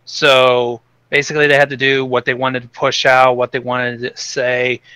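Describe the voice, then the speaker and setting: A man with a clear, bright voice and a dry sound speaks as a podcast guest. He lays out opinions in a casual, explanatory way, dropping swear words as he goes. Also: mid-range voice, completely flat delivery, moderate pace, no echo.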